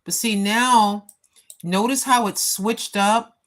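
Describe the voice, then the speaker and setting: A woman's voice: mid-range voice, steady tone, takes it slowly, a bit bright. A woman in her sixties chatting casually on a live stream from home, rambling with many fillers and talking straight to her viewers. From United States. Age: 60s. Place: home, seated. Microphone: phone.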